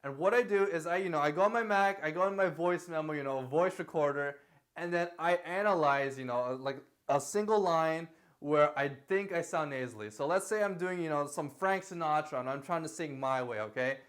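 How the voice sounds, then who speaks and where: Chatty male vocal coach with a higher voice. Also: brisk, fairly bright tone. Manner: loose, repetitive talk with many fillers and "okay?" checks; sings short lines to demonstrate. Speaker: singing teacher. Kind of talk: casual vocal lesson.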